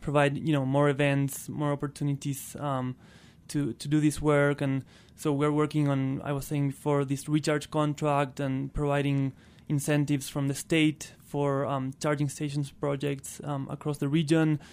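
A man with an Argentine Spanish accent and a clean, smooth voice speaks as an interview guest. His pitch sits a little high and stays fairly even. He talks casually and often says "you know.". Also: moderate pace, few pauses. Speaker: interview guest, clean transportation programme worker.

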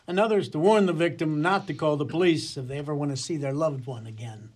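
A man playing a short, grubby police detective in a comedy radio play, blunt and droll, with a husky voice. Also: a little high, quick pace, a little mellow. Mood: suspicious.

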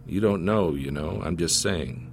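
A man's voice: deep voice, a little slow, creaky, faint music behind. A man voicing a skeptical, plain-spoken small-town card player with a folksy, hesitant manner in a story reading. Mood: musing.

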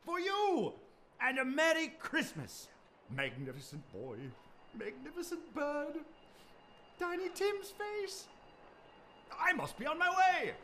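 A giddy old miser turned generous, his voice high, bright and ringing. He draws out his words in an unhurried way and swings his pitch all over, bubbling with delight. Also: very animated, heavily acted. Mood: gleeful. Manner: exuberant, chuckling, sweeping pitch. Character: Scrooge, reformed old miser, gleeful and giddy. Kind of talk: stage radio play acting.

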